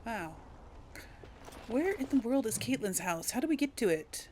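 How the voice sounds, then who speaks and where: A woman's voice: a little high, big pitch swings, moderate pace, a little mellow, slight background noise. A woman hosting a casual video game let's play, thinking aloud as she explores, with faint game sound behind her.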